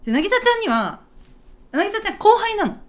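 A woman's voice: very high-pitched, very animated, moderate pace. A woman hosting a podcast in playful casual chat, teasing her co-host with incredulous questions.

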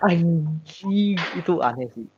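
A young man from Sumatra, a student, telling a story in casual chat, animated and hesitant with many repeated words. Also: a little high, slow, slight background noise. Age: young adult. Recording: dull lo-fi sound.

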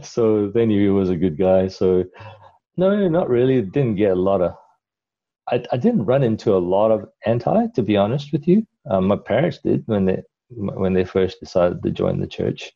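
A middle-aged man from Malaysia talks at length in a very low, warm voice. He rambles and restarts his sentences with many fillers. The recording sounds a little dull and muffled. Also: big pitch swings, normal speed, gravelly. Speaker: interview guest. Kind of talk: casual conversational storytelling.

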